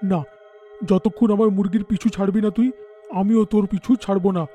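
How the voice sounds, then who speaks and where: A man voicing a righteous, protective chicken farmer in an animated horror folk tale, with an emphatic, dramatic delivery. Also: sits high, brisk, soft background music, lightly acted. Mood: vengeful.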